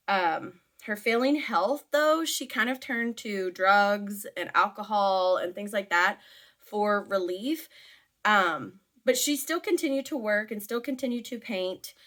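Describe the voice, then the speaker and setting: Woman w normal pitch voice, chatty storytime while doing makeup, kinda giggly, super informal w little side comments. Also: fairly bright tone. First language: English. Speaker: teacher.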